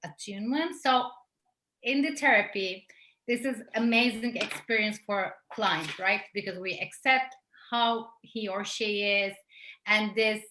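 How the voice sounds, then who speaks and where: A woman from Turkey with a Turkish accent, earnestly asking a question in a workshop over a video call, pausing with fillers. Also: medium pitch, sharp tone. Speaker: workshop participant. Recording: echoey room.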